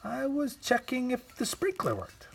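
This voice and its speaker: A man's voice: extremely high pitch, huge pitch swings, a little slow, creaky, a little hiss or hum, voice pushed up, full character voice. A man voicing a distractible, eager young male dog who makes innocent, puzzled excuses in a storybook.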